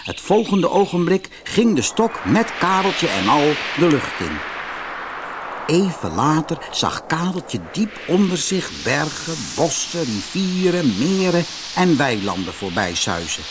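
Male storyteller, very lively intonation, slightly high pitch, scripted children's audiobook with music. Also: measured pace, noisy background. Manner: expressive storytelling. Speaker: audiobook narrator. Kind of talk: scripted fairy-tale narration.

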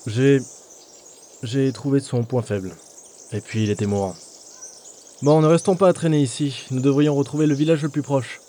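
A man playing a reserved, helpful ranger in a fantasy audio drama, with a calm, instructive delivery. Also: medium pitch, moderate pace, pauses often, a little hiss or hum, lightly acted. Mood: calm, explanatory. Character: male ranger player in a fantasy game world, gruff but helpful.

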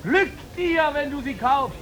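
A man's voice: very high-pitched, expressive, unhurried, a bit bright, exaggerated voice. A man playing a florid slave-market auctioneer in a 1950s radio drama, crying out ornate sales patter. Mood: urging, effusive. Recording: noisy 1950s radio recording.

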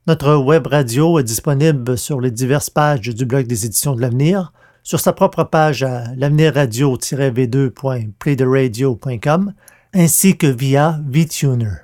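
A man's voice: medium pitch, measured pace, a little mellow. A man hosting a podcast, reading scripted announcements with careful enunciation. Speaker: podcast host and audio drama producer.

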